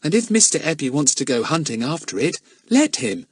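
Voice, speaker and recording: A man's voice: on the high side, big pitch swings, a little fast, slightly rough, no echo, clean studio sound, pitched above the performer's own voice. Brief: male narrator doing a careful, timid girl, storybook. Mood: cautious. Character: cautious, timid young girl.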